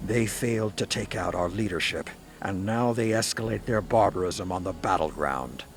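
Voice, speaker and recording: An actor playing a stern, hawkish veteran warlord in a sci-fi game, with a grave, forceful manner. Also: male voice, sits low, normal speed. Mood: grim contempt. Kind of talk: dramatic sci-fi game voice acting.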